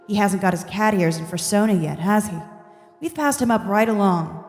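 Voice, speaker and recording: A woman playing a casual, wry, deadpan God narrating a comedic radio play. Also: mid-range voice, normal speed, big-room sound, faint music behind, natural voice. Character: blasé, crude, wry God in heaven.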